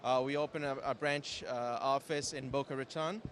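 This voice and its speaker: Brazilian-accented man, halting speech. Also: medium pitch, steady tone. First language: Portuguese. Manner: halting, many restarts. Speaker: company founder and software director. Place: exhibition stand at trade show.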